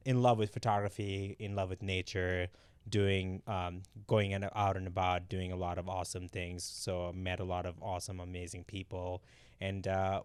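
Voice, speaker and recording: A young man from Bangladesh chats on a podcast in a relaxed, easygoing way, often adding "like." His voice is very low, smooth and clear. The sound is dry and close. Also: no room sound. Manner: relaxed, laughs easily, many "like" fillers. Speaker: podcast producer and co-host. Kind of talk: casual podcast chat.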